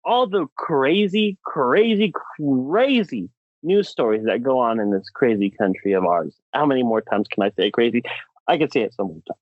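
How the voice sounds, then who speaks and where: A man's voice: mid-range voice, big pitch swings, moderate pace, no room sound, narrow, tinny audio. A man co-hosting a podcast in casual, enthusiastic banter, repeating words for emphasis. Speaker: podcast co-host.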